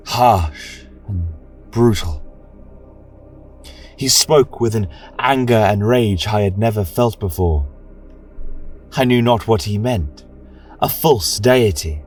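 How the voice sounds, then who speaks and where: A man's voice: medium pitch, slightly rough, voice pushed up. A man voicing a devout, indignant warrior saint woman, declaiming in short, emphatic phrases as in a fantasy scripture. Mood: shaken, brooding.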